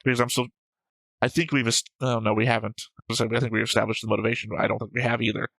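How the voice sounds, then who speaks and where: A man's voice: medium pitch, flat delivery, racing, creaky, a bit bright, no room sound. A man who hosts a reaction show, talking casually and analytically, explaining and arguing his points. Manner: argumentative, explanatory. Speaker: host of an anime reaction show.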